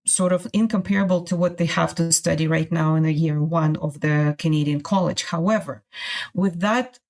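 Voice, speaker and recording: A middle-aged woman from Russia, a guest on a podcast interview, talking in halting phrases with many restarts. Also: low-pitched, vocal fry, a little mellow. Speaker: guest, immigration consultant.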